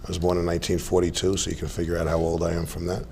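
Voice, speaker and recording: A man, a guest telling stories in a relaxed, rambling way with many restarts. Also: sits low, very fast, slight background noise. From Staten Island, New York. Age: 60s. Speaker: interview guest. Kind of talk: casual interview chat.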